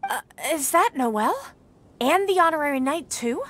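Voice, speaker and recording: High-voiced dutiful young knight. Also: female voice, animated, moderate pace, fairly bright tone. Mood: matter-of-fact. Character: dutiful, concerned young female knight on patrol. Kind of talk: video game voice acting.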